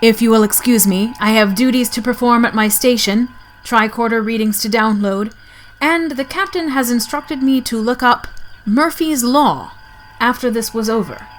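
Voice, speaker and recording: A dry, formal female starship officer, played by an actress in a sci-fi audio drama. Her voice is fairly high, with clipped, stiff delivery. There is faint background noise. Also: normal speed, natural voice, low-quality recording.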